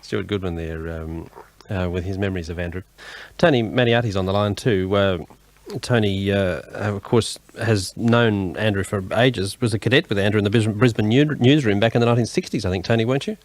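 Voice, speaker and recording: A man's voice: lowish voice, fairly quick, no echo. An Australian man hosting a live radio tribute, talking in a hesitant, conversational way with guests. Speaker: radio host.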